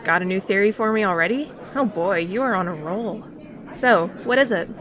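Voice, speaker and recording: An actress playing a teasing friend in an audio drama, with crowd chatter behind. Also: female voice, mid-range voice, moderate pace, close to an everyday voice. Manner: teasing, lively.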